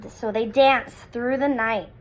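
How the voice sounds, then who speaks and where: Woman, thirties, high voice, unhurried pace, expressive children's read-aloud, deliberate pauses. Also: a little hiss or hum, low-quality recording. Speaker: picture book reader.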